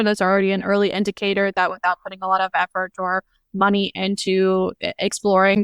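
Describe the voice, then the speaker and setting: An American woman with a smooth, clear voice walks through her thinking in a steady, explaining manner. Her sound is dry and close, with no room around it, and her pitch sits in an ordinary middle range. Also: no room sound. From United States. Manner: thoughtful, explanatory, uses "like" and "if you will". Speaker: guest, head of product marketing. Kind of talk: podcast interview answers.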